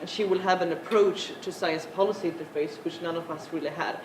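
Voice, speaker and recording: Young woman on a panel, halting with lots of fillers, lowish voice in an echoing room with clear background noise. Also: steady tone. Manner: halting, many filler sounds. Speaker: panel speaker. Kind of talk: conference talk. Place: large conference room. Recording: echoey conference room, noisy.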